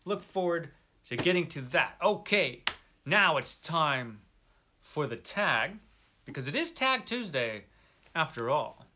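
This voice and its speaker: Male book vlogger, somewhat higher-pitched and bright, conversational, wry, self-deprecating. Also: very animated, takes it slowly, slight background noise. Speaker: host of a book review video channel. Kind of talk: casual chat to camera about books.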